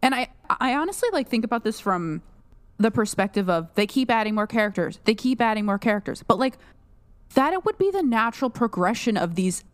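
A woman co-hosting a podcast in casual chat, talking fast with lots of fillers and restarts. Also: fairly high pitch, sing-song, dry recording. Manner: chatty, full of fillers and restarts. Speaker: podcast co-host.

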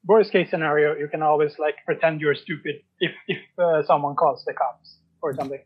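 Male guest, high voice, halting casual talk with mid-sentence pauses, muffled lo-fi recording. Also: steady tone, husky. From Sweden. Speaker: podcast guest, writer. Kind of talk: casual interview chat.

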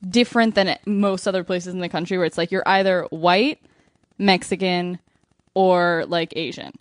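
A woman's voice: fairly low pitch, normal speed, no room sound. A young woman from Argentina telling a story in casual chat on a podcast, with lots of fillers. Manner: chatty, many fillers, animated storytelling.

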